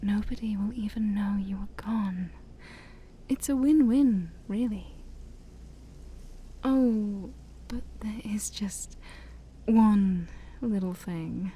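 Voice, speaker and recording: Girl doing a scheming shy demon princess, asmr roleplay, slow soft whispery and super mellow, coaxing. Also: mid-range voice. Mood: eager, coaxing, then sly, persuasive. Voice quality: soft, breathy, mostly whispered. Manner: slow, coaxing, formal with playful asides. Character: shy, scheming female demon princess ruling a dark forest. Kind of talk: ASMR roleplay addressed to the listener.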